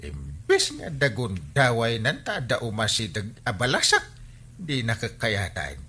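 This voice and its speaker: An actor playing an excitable, fussy village captain and uncle in an Ilocano comedy radio drama, exclaiming in an Ilocano accent. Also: male voice, medium pitch, a little slow, slightly rough, exaggerated voice. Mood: nagging.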